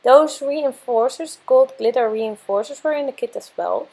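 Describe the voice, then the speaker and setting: A young woman with a hoarse, worn-out voice, casually narrating her craft process as a channel host, with frequent fillers and self-corrections. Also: high-pitched, moderate pace, dark tone.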